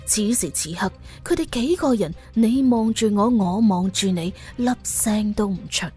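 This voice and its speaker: A woman's voice: medium pitch, lively intonation, measured pace, mellow, slightly rough, soft background music. A woman with a Cantonese accent reading a scripted suspense audiobook as its narrator.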